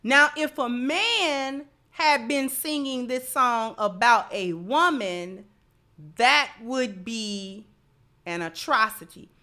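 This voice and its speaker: High, bright-voiced woman giving emphatic commentary. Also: lively intonation, slow pace. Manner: emphatic, repeats phrases for emphasis. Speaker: livestream commentator and host. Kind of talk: livestream social commentary with asides to viewers.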